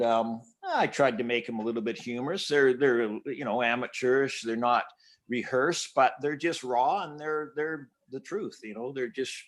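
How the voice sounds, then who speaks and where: A man's voice: medium pitch, a bit bright. Older man, folksy and self-deprecating. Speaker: interview guest, hobbyist builder. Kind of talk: casual chat.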